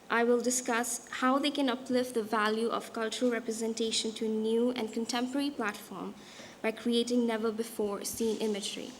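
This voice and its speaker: A young woman from India with an Indian accent, giving a prepared talk as a guest speaker in a measured, deliberate way. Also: on the high side, a little hiss or hum. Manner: measured, deliberate delivery with occasional restarts. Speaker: guest speaker, designer and artist.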